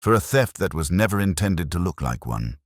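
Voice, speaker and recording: A man voicing a mild, shrewd English police inspector in a mystery story, speaking gently, smoothly and politely. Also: low voice, very animated, normal speed, dry recording, clean studio sound. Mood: quietly shrewd. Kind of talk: audio mystery story dialogue.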